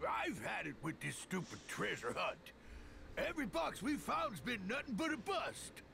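Gravelly, high, blustering cartoon henchman. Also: huge pitch swings, normal speed, sharp tone, a little hiss or hum, full character voice. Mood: grumbling. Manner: grumbling, folksy bad grammar. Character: big blustering oafish male cartoon cat henchman. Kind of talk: cartoon video game voice acting.

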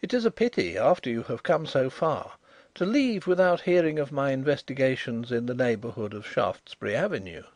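A smooth-voiced blind gentleman detective talks in measured, courteous tones with a thread of dry irony, as a storyteller puts him on. His delivery stays lively in pitch and close, dry and direct. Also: no echo, lightly acted. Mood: smoothly ironic. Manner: measured and politely ironic.

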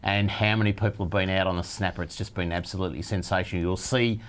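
Jokey Australian TV fishing-show host. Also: male voice, low voice, fairly even intonation, fast. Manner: chatty, jokey, cheerful. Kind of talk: casual studio chat show. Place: studio set with a bar backdrop.

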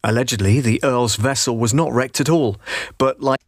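A middle-aged man narrates a promotional script as a measured storyteller. His pitch is average for a man. The recording is close and dry, as from a voice-over booth. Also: no echo. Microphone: close booth microphone.

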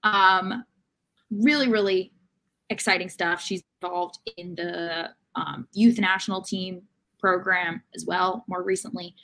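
Young woman with a slightly low voice. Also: steady tone, unhurried, fairly bright tone, poor audio. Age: young adult. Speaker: sports podcast co-host. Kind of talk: casual sports chat. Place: room at home, video call.

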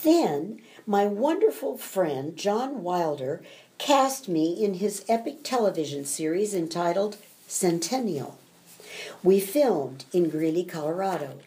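An older woman with an American accent, a former actress reading her own life story in a measured, polished way. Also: lowish voice, sing-song, dark tone. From United States. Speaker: retired actress telling her career story. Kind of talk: scripted autobiographical narration.

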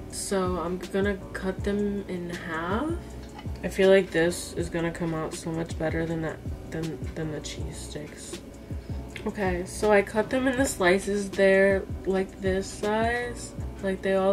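A woman's voice: mid-range voice, recorded from a distance. A woman in her twenties with an American accent, chatting informally and thinking aloud as she films herself cooking in a home kitchen. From United States, East Coast. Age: 20s. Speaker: cooking vlogger. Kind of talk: casual chat to camera while cooking. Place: small home kitchen, indoors. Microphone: camera a few feet away. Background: music.

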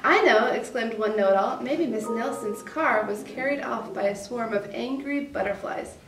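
A woman's voice: on the high side, normal speed. A woman reading a children's picture book aloud. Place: school office indoors. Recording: roomy, distant mic, faint noise.

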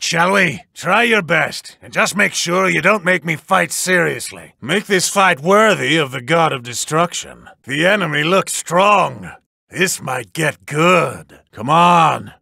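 Haughty, drawling, gravelly cat god. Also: male voice, fairly high pitch, bright, forceful tone, dry recording, studio quality, heavily acted. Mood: disappointed, scolding. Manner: theatrical, condescending, drawling. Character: haughty, playful male cat-like god of destruction. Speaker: video game character. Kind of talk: video game fighting voice lines.

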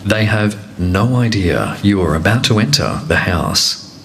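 Deep, slow male announcer with a commanding, measured read. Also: lively intonation, vocal fry, slight background noise. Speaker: reality show voice of Big Brother. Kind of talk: scripted announcements.

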